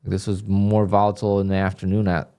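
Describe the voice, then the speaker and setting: A man doing live commentary on his trading session, talking to viewers in a flat, dry way that sounds a bit annoyed even when he is content. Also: deep voice, quick pace, clean tone. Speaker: day trader livestreamer. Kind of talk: live stream commentary, reading donations.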